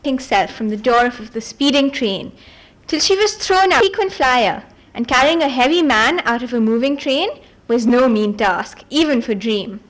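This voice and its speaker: A woman reading a fantasy novel aloud at a book event, in an expressive storybook manner with the odd stumble over words. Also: a little high, normal speed.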